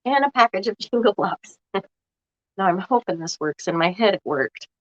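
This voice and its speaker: A woman's voice: medium pitch, animated, moderate pace, no room sound. A woman, sounding froggy from a cold, chatting casually and thinking aloud as she walks viewers through a craft project. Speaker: craft vlogger. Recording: dull lo-fi dry recording.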